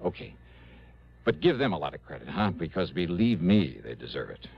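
A man's voice: low-pitched, sing-song, moderate pace, creaky, full character voice. A man acting as a sincere American investigator in a mid-century radio drama. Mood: heartfelt, grateful. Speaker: star of a radio detective drama. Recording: muffled old radio recording with music.

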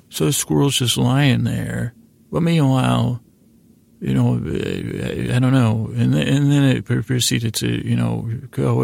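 A man hosting a bedtime podcast in a rambling, halting monologue, whimsical and self-deprecating, often repeating himself and trailing off. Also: mid-range voice, darkish tone. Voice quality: creaky, gravelly. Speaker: podcast host.

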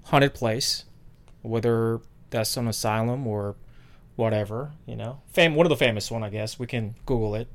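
A man talks through a podcast in easy, meandering casual chat. He stops often on fillers and restarts. His voice sits at an ordinary middle pitch for a man. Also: moderate pace. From South Korea. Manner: loose and rambling, many fillers and restarts. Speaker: podcast co-host.

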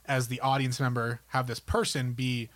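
A man's voice: mid-range voice, flat delivery, moderate pace. A man co-hosting a podcast, talking about films and games in an enthusiastic, rambling, conversational way. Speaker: podcast co-host. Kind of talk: casual film and gaming chat.

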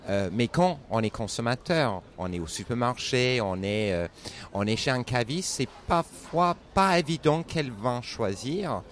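A man's voice: mid-range voice, expressive, measured pace. A man with a British accent, speaking as a wine competition judge in an interview outdoors at a fair, hesitant with many restarts.

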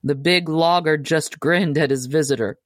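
A woman's voice: deep voice, normal speed, dry recording, studio quality. A woman reading a children's tall tale aloud as scripted storybook narration. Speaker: audiobook narrator.

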